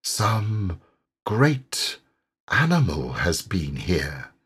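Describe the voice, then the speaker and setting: Man voicing a dreamy, slow-spoken storybook water rat. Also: sits low, big pitch swings, a bit bright, breathy edge, full character voice. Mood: hushed, thoughtful. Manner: slow, thoughtful delivery.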